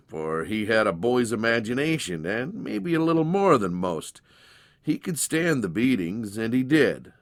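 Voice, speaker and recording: A man's voice: medium pitch, dry recording, studio quality. A man narrating a dramatized story in a folksy, conversational way. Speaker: narrator, audiobook reader.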